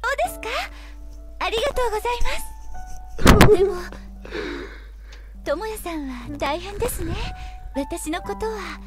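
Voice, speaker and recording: A woman voicing a gentle, cheerful, polite mother with a youthful sound in an anime visual novel. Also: extremely high pitch, animated, fast, pauses often. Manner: polite, soft-spoken formal speech. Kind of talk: Japanese visual novel voice acting. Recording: game dialogue over clear background music.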